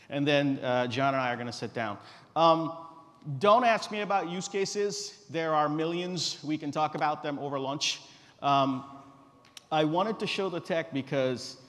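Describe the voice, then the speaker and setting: A middle-aged man from Guyana giving an informal live stage presentation in a relaxed, direct, joking manner. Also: fairly high pitch. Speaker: company founder presenting a tech demo. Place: conference stage in a large reverberant hall. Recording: echoey hall through a stage PA.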